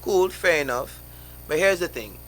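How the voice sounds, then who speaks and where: A man's voice: fairly high pitch, expressive, moderate pace, a bit bright, poor audio. A young man, a guest on a live radio interview, talking passionately in rhetorical questions with many restarts.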